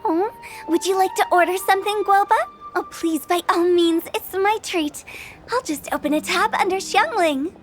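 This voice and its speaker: A mischievous young funeral parlor director, teasing and theatrical. Her voice is very high and sing-song, with faint game music underneath. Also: moderate pace. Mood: playful. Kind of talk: game cutscene voice acting.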